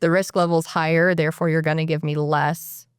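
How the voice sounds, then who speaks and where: A woman's voice: sits low, little pitch movement, brisk, clean tone, no room sound, clean studio sound. A woman hosting a podcast, asking clarifying questions with brief affirmations. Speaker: podcast host. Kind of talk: casual podcast interview.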